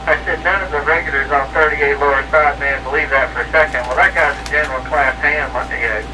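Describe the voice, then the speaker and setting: A man's voice: a little high, fairly even intonation, fairly quick. A man talking casually and ramblingly as an amateur operator over a CB radio transmission. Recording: noisy CB radio, distant-sounding transmission.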